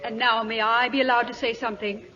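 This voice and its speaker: A woman's voice: a little high, level tone, fast, close to an everyday voice. An actress playing a poised, assertive English noblewoman in an old-time radio drama. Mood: poised, cool. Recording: dull, very noisy vintage radio recording.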